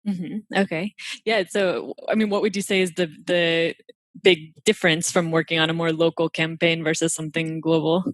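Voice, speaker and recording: A woman's voice: sits low, flat delivery, normal speed, dry recording. A woman working as an interviewer, asking casual, conversational questions.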